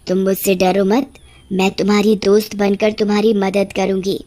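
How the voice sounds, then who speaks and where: A woman voicing a small witch ghost in a cartoon story, sympathetic and quietly vengeful, speaking in a measured, reassuring way. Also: mid-range voice, level tone, pitched below the performer's own voice, natural voice. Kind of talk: animated cartoon story dialogue.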